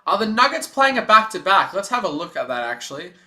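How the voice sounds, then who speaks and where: A young man streaming his own play-by-play as a fan, chatty and excited, with a voice going hoarse. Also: high voice, quick pace. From Australia. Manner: excited rooting fan, loud on big plays, chatty in lulls. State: losing his voice. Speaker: stream host.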